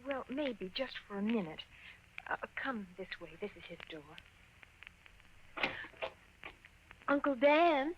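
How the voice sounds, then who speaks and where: High-pitched, prim fiancée, played by a woman. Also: animated, normal speed, natural voice. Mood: hesitant. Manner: prim, scolding. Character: prim, easily offended fiancée, disapproving and proper. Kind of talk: 1950s radio comedy-horror acting. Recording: dull, hissy old radio broadcast.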